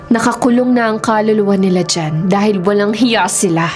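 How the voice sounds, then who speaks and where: A woman's voice: mid-range voice, normal speed, lightly acted. A woman playing a vengeful, wounded schoolgirl painter in a radio horror drama. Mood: coolly sinister. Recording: loud dramatic music underneath.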